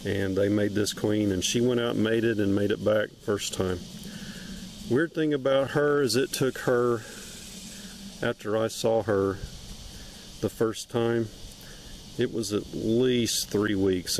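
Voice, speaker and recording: A man casually narrating a hive inspection outdoors, with an unhurried, plain-spoken, matter-of-fact way of talking. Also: fairly low pitch, vocal fry, darkish tone, slight background noise, rough recording. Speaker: beekeeper and video host. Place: outdoors among trees beside beehives.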